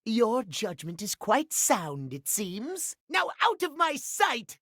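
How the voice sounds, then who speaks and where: A man's voice: extremely high pitch, wildly swooping pitch, slow pace, a bit bright, dry recording, clean studio sound, exaggerated voice. A man voicing a prim, haughty, easily offended timekeeper in a video game, with pompous, theatrical formal phrasing. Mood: indignant, haughty. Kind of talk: video game character voice acting.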